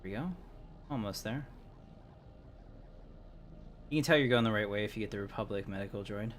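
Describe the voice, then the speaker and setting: A man's voice: on the low side, brisk, slight background noise. A man livestreaming a game who chats casually in a rambling way with frequent fillers. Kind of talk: casual gameplay chat.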